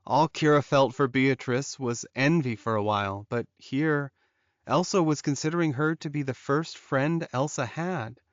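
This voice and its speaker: A man's voice: medium pitch, normal speed, no room sound, lo-fi sound. A man reading scripted fiction as an audiobook narrator.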